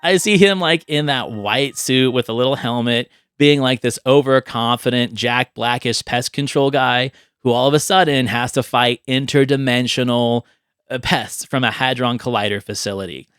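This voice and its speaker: A man's voice: mid-range voice, normal speed, a bit bright, smooth, dry recording, studio quality. A man hosting a podcast, chatting casually and enthusiastically in a rambling way. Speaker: podcast host. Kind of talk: casual chat about movie ideas.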